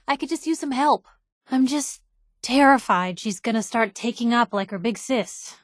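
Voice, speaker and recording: A woman's voice: fairly high pitch, expressive, a little slow, dry recording, clean studio sound. An actress with an American accent playing a feisty, nosy young woman reporter as a game companion, wry, snappy, informal and emotionally direct. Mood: candid, subdued. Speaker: video game companion character. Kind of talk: acted game dialogue.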